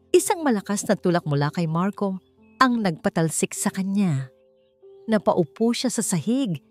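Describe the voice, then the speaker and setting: A woman's voice: fairly low pitch, a little mellow. A woman reading a romance story as dramatic scripted narration, with music playing behind her. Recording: music bed under the voice.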